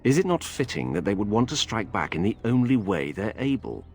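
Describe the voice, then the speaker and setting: An actor playing a wary, calculating vigilante informant in a video game, speaking in a measured, secretive, formal way. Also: male voice, fairly low pitch, very animated, darkish tone. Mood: guarded, conspiratorial.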